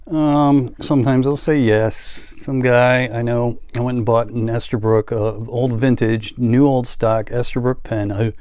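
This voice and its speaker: A man talks through his collection at a slow, unhurried pace. His delivery is casual and halting, drifting into small asides, with a mid-range pitch. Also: level tone, darkish tone, smooth, no room sound, clean studio sound. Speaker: music collector and vlogger. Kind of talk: casual show-and-tell chat.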